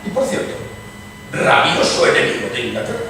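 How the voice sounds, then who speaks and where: A man's voice: brisk, pushed and bright, lightly acted. A man playing a grave English lord in a dramatized radio theatre reading, formal and emphatic. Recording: reverberant noisy hall, distant mic.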